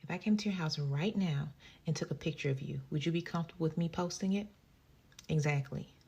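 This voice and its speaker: A woman's voice: low-pitched, brisk, darkish tone, slightly rough. A woman giving blunt, challenging style advice straight to her viewers. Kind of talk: direct advice to camera.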